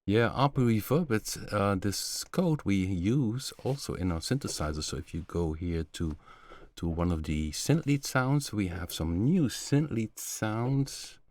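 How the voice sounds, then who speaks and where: Deep-voiced man demoing synths. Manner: relaxed, halting, many fillers, slightly non-native phrasing. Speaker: synth developer hosting a live stream. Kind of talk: casual live-stream demo. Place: home studio, indoors.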